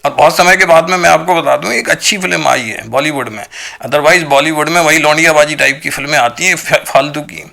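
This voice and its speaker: High-pitched, creaky Indian man arguing his opinion. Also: a little fast, sharp tone. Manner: argumentative, repeated rhetorical questions and check-in tags. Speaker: video commentator. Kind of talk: opinionated monologue to viewers.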